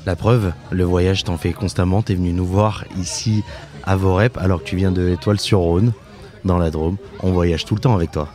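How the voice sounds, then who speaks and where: A man's voice: low-pitched, steady tone, normal speed, a little mellow, soft background music. A man hosting a podcast, interviewing his guest in a casual, conversational way.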